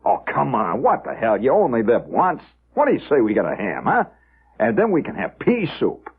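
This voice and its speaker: A man's voice: mid-range voice, huge pitch swings, moderate pace, husky, heavily acted. An American man voicing an eager, coaxing working-class father in a radio story, in a folksy manner. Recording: muffled old radio recording.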